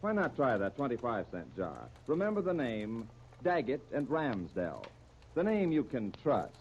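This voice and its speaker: A man's voice: mid-range voice, huge pitch swings, unhurried, darkish tone. An American man announcing a radio serial and its sponsor in scripted 1930s style, on a muffled, noisy old recording.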